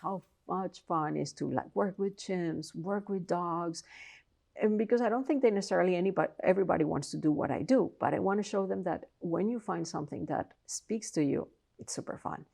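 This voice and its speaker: A woman professor from Colombia speaks with a Colombian Spanish accent. Her voice sits a little low and carries a gravelly edge. She explains things earnestly, often restarting her sentences. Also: fairly quick, mellow. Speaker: professor and researcher, interview guest. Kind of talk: interview answers.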